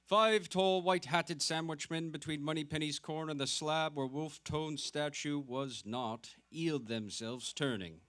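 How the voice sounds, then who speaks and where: A man's voice: on the high side, a little slow, few pauses, fairly bright tone, clean tone. A man narrating literary prose in a scripted dramatic reading.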